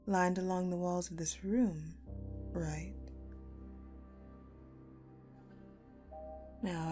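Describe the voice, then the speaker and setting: Woman acting a slow, sadistic ice-queen general. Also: lowish voice, dark tone. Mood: cold, toying menace. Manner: haughty, taunting, deliberate, often dropping to a breathy murmur. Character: sadistic, imperious ice-queen general toying with her prisoner. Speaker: voice actor in audio roleplay. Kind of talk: dramatic audio roleplay acting.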